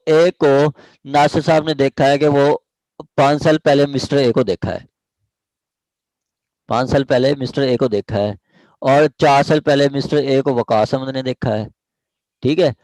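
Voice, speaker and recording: A man's voice: mid-range voice, a little mellow, no room sound, low-quality recording. A man with a Pakistani accent giving an explanatory law lecture, reading out provisions and restating them step by step with frequent check-in questions. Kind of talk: explanatory lecture, reading statute aloud and paraphrasing.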